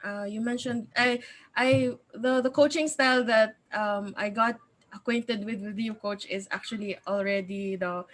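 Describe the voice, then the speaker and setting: A woman host interviewing a coach, speaking haltingly with many fillers and frequent giggles. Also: fairly high pitch, steady tone. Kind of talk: casual interview chat.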